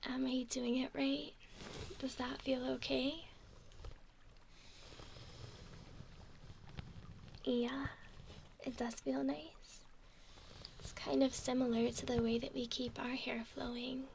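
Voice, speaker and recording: Casting: woman as a curious, doting mermaid for ASMR, gentle and playful, unhurried, higher-pitched with soft fry, often whispering. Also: flat delivery, slight background noise. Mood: soft, teasing. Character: curious, affectionate young female mermaid named Valerie.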